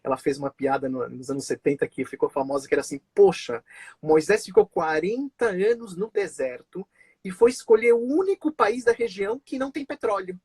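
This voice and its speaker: A man's voice: high voice, lively intonation, fairly quick, darkish tone, husky, no room sound. A man born in Israel and raised in Brazil, hosting a casual live-stream conversation over a video call, chatty and full of fillers and restarts. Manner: chatty, many fillers and restarts, laughs often.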